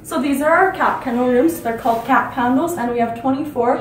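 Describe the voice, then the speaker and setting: A woman's voice: sits high, fairly quick, slight background noise, low-quality recording. A young woman student walking through a veterinary clinic, explaining each room in a plain, matter-of-fact way. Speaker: student tour guide. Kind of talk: guided facility tour. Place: veterinary teaching clinic rooms.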